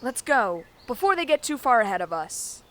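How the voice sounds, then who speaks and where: A woman's voice: a little high, sing-song, moderate pace. A woman playing a protective, wry female knight who guards a princess in a fantasy audio drama. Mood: conspiratorial.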